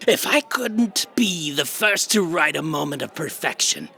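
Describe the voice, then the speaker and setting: Gravelly, theatrically bitter villain. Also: male voice, on the high side, very animated, measured pace, sharp tone, a faint crowd, exaggerated voice. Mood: vengeful menace. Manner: melodramatic, grandiose. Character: bitter invisible male writer villain, theatrically unhinged. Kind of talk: audio drama acting.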